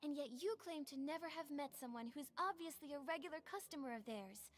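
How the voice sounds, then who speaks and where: A woman's voice: sits high, brisk, faint music behind, lightly acted. An actress playing a determined female investigator in a video game, speaking in an articulate, insistent, accusatory way. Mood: accusing. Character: determined young female investigator pressing a suspect.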